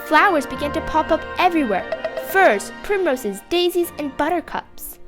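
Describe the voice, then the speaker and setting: A young female narrator reading a scripted fantasy story aloud with expressive storybook delivery. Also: sits high, normal speed, over music.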